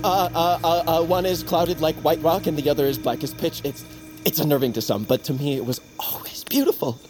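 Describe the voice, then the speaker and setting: A man's voice: fairly high pitch, a little fast, over music, lightly acted. An actor in an audio drama playing a nervous, earnest young tribesman who stammers and pleads. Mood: anxious, relieved.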